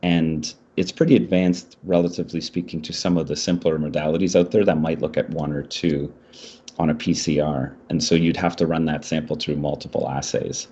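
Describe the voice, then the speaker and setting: A middle-aged man presents a startup pitch over a video call, speaking in a measured way with fillers and restarts. His voice sits low and slightly husky, with a mellow tone. The audio is muffled, like a typical video call. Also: fairly even intonation. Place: indoor room.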